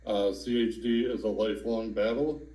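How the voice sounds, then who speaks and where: A man in his thirties calmly sharing his own story in a personal testimonial, with some fillers. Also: on the low side, reverberant, low-quality recording. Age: 30s. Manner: slow, calm, with fillers. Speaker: adult with congenital heart disease.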